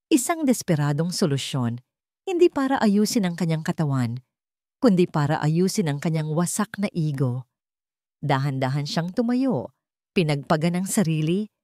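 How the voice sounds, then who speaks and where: A woman's voice: on the low side, a little mellow, no room sound, studio quality. A Filipino woman giving a dramatic scripted narration of a romance story as its narrator.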